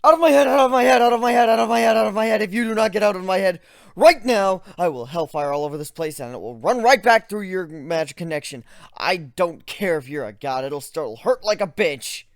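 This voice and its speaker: A man's voice: high voice, expressive, a little fast, dry recording, studio quality, pitched above the performer's own voice. A man voicing a volatile, short-tempered male griffin in a blunt, exclamatory way. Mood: furious.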